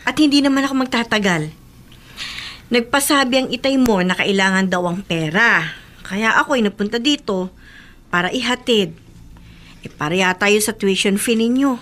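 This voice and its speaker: A woman's voice: medium pitch, normal speed, pauses often, fairly bright tone, natural voice. A woman playing a middle-aged aunt in a Filipino radio drama, kindly but fed up and chiding. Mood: concerned.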